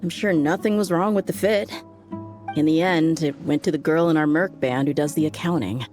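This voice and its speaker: A woman's voice: sits low, moderate pace, a little mellow, faint music behind, close to an everyday voice. A woman playing an easygoing, casual mercenary band leader in a video game, with breezy informal speech. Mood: casual, sheepish. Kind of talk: video game character dialogue.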